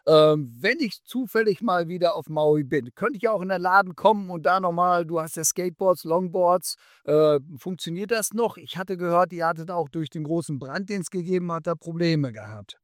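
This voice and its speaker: A male interviewer asks questions in a relaxed way and sometimes slips in a joke. His voice is somewhat high for a man. The sound is dry, with no room echo. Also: moderate pace, hardly any pauses. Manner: chatty, joking questions. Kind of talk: casual interview.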